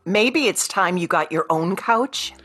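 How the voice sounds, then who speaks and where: An actress playing a patient, practical ex-wife in a radio play, with a natural, placating way of talking. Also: female voice, lowish voice, lively intonation, unhurried, fairly bright tone, breathy edge, exaggerated voice. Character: patient, practical career woman, an exasperated ex-wife.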